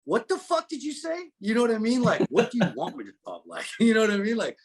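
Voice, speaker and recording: A man in a casual interview, telling stories as a musician guest in an animated, unpolished way. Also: sits high, quick pace, crowd noise. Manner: animated storytelling, frequent profanity and filler phrases.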